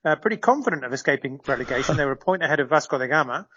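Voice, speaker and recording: A man's voice: a little high, lively intonation, rapid-fire, bright, forceful tone, lo-fi sound, a little hiss or hum. A man serving as a correspondent in a live radio interview, explaining at length with frequent fillers and restarts.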